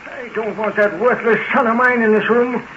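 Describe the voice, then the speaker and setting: An actor playing a sick, miserly old farmer with a rural American accent, querulous and demanding, in 1940s radio drama style. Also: male voice, very high voice, fairly even intonation, normal speed. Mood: crabby, suspicious. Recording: muffled, hissy 1940s radio recording.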